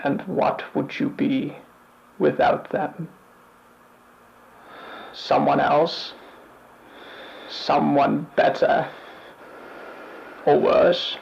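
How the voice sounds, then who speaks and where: A man playing a bleak, weary otherworldly narrator in an analog horror piece, speaking solemnly and deliberately with a gravelly voice. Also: fairly high pitch, flat delivery, a little mellow, highs cut off, heavily acted. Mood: grim, menacing. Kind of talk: slow scripted analog horror monologue.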